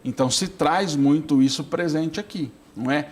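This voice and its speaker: A man's voice: fairly high pitch, moderate pace. A man with a Brazilian accent, a priest talking as an interview guest, explaining conversationally and often checking with short tag questions.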